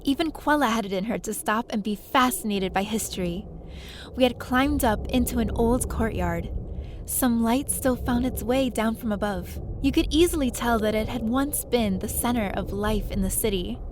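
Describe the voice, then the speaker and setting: A woman's voice: on the high side, background noise, lightly acted. A woman playing a curious, sheepish young adventurer who narrates her own story in an audio drama. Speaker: lead character and narrator. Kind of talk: first-person scripted story narration with dialogue.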